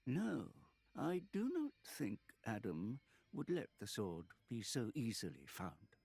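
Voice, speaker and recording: Thoughtful seasoned male game companion: deliberate, slow, expressive mid voice, dry sound. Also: mid-range voice, creaky, husky, exaggerated voice. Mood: considered. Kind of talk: video game cutscene acting.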